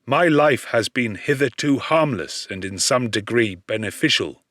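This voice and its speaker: Man acting a slow-spoken, lonely outcast creature. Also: medium pitch, animated, fairly bright tone, dry recording, clean studio sound. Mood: earnest, troubled. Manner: formal, earnest, pleading. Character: lonely outcast male creature, humble, anxious, eloquent. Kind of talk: audiobook character voice.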